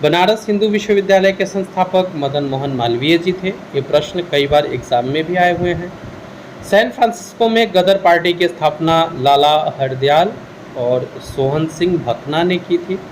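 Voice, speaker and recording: A man's voice: a little high, normal speed, slight background noise, low-quality recording. A man with an Indian accent teaching an exam revision lesson, posing questions and then answering them in an instructional way. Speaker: history teacher.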